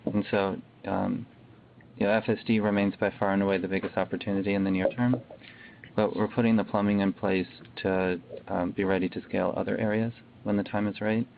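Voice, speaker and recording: Even, low-pitched man on a phone line. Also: brisk, creaky. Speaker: company executive answering questions. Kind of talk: businesslike explanation on an earnings call. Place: conference call, heard through a livestream. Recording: tinny phone-like call audio, faint noise.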